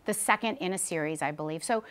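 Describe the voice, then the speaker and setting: A woman's voice: low-pitched, fast talker, fairly bright tone, a little hiss or hum. A woman hosting a television book show and interviewing a guest. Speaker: TV interviewer and host.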